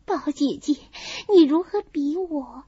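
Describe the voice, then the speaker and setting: A woman acts out a frail noble girl in her teens, sensitive and melancholy. Her voice is very high and bright, confiding in a slow, plaintive way, as in an audiobook drama. The audio is a little dull. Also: animated, no echo. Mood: plaintive, aggrieved. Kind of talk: dramatised audiobook reading of a classical novel.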